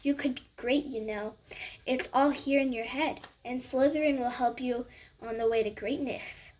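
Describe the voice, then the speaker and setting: A woman voicing an ancient magical talking hat that murmurs softly and slyly into a listener's ear. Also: high-pitched, fairly even intonation, moderate pace, close to an everyday voice. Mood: hushed musing. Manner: hushed, murmuring close in the ear.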